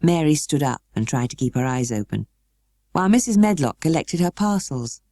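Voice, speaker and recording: A British woman reading a storybook as narrator, in a measured and expressive way. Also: low-pitched, no room sound, clean studio sound. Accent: British English. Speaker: audiobook narrator.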